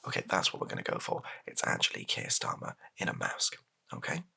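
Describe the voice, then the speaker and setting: A man playing a flustered, placating political advisor in an improvised ASMR comedy roleplay, speaking softly in a hesitant, stammering, deadpan way. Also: a little fast. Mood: awkward, tactful. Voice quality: soft-spoken, gravelly, breathy. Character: flustered, placating male political spin doctor advising his boss.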